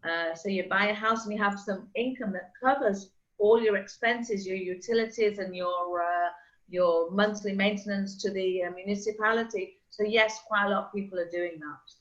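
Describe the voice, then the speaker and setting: A woman's voice: medium pitch, fairly even intonation. Chatty middle-aged woman on a dull call line. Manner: chatty, explains with quick examples. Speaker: real estate agent. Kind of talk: casual video call chat. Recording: slightly dull lo-fi call audio.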